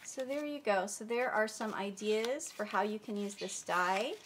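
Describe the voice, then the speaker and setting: A woman's voice: medium pitch, normal speed, fairly bright tone, slight background noise. A woman hosting a craft tutorial, chatty and a bit stuffy from a cold, explaining step by step at her table. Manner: chatty, friendly, searches for words. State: stuffy, under the weather. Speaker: crafting channel host. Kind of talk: casual tutorial demonstration.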